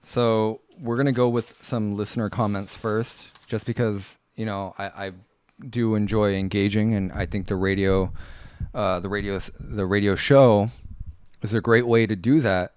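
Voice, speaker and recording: A man hosting a casual live radio talk show, rambling through listener comments in a halting way and often repeating words and phrases. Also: on the low side, fairly even intonation, a little mellow, clean tone, no room sound.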